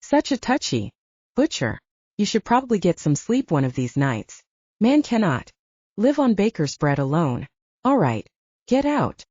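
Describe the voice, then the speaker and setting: An eccentric male tailor as read by a woman in an audiobook, gruff and mocking. The voice is husky and mellow, bossy in its teasing, on a slightly muffled recording. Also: medium pitch, sing-song, unhurried, dry recording. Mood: brusque.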